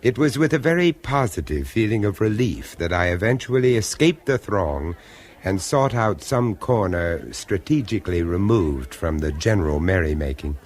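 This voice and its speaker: An actor playing an urbane, reserved and wry gentleman, measured and refined, in a 1970s radio horror drama. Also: sits low, very animated. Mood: wry, ominous storytelling. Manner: measured, refined, theatrical delivery.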